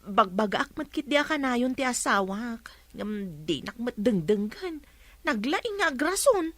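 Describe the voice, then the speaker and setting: Patient, high-voiced reproving wife. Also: female voice, big pitch swings, a little slow, a bit bright, no echo, heavily acted. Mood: apologetic. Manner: pleading, reproving. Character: patient, apologetic wife chiding her husband, adult woman. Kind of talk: radio drama acting.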